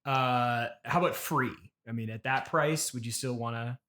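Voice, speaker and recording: A man hosting a comedy interview, hesitant and politely puzzled, keeping a deadpan tone. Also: mid-range voice, normal speed. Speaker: podcast host, interviewer.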